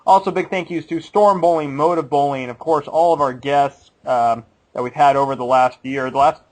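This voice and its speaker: Playfully cynical young man, fairly high voice. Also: fairly even intonation, moderate pace, poor audio. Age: late 20s. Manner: laughs often, playfully cynical. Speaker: podcast co-host. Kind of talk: casual chat.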